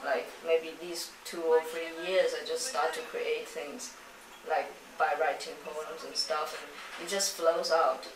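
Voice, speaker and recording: A woman's voice: deep voice, flat delivery, slow pace, a crowd in the background. A young woman from China, speaking English as an in a reflective documentary interview, searching for her words. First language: Chinese. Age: young adult. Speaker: poet and singer. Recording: roomy, distant mic, faint noise.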